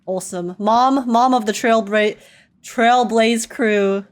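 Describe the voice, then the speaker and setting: A giggly, excitable woman giving casual reaction commentary as she plays a game. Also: a little high, slow.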